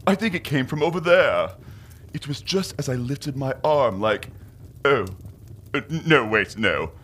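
A man's voice: medium pitch, expressive, measured pace, a bit bright, slightly rough. A man playing a gullible, petty, sheepish Puritan townsman in a comic audio drama. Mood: sheepish alarm. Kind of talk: comedic audio drama acting.

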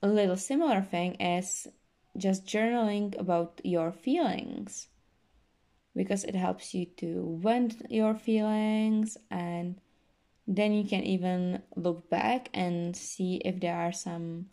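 Slow, gravelly-voiced young woman. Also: mid-range voice. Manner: slow, soft-spoken, many fillers and restarts. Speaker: podcast host. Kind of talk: casual self-help talk.

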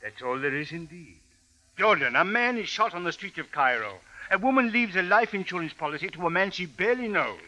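An actor playing a stern, skeptical police captain in an old radio drama, with formal, deliberate, clipped phrasing. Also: male voice, high voice, lively intonation, bright, forceful tone, full character voice, rough recording. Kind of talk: vintage radio drama acting. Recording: vintage radio recording.

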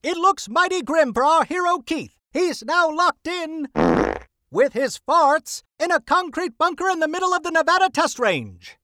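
Woman doing a campy radio serial narrator, high pitched, melodramatic and tongue in cheek. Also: expressive, moderate pace, a bit bright, dry recording, clean studio sound. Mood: wry, dramatic.

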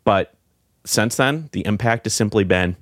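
A middle-aged man with a deep voice, speaking in a reflective, halting way with many fillers and restarts, as a guest in a casual heartfelt conversation. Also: fairly bright tone, no room sound, clean studio sound. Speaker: podcast guest.

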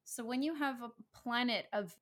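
A woman's voice: on the high side, moderate pace, fairly bright tone. A woman co-hosting a podcast, speaking earnestly and reflectively about spirituality in casual conversation. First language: English. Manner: earnest, reflective, laughs easily. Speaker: podcast co-host.

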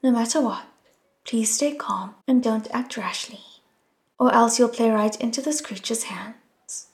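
Eine Frau als sanfter junger Gott. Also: female voice, on the high side, measured pace, roomy sound. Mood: soothing. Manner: gentle soothing tone. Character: calm, gentle young male god.

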